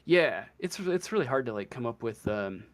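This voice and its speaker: A man narrating a tabletop game as game master, halting and searching for words as he improvises. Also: mid-range voice, sing-song.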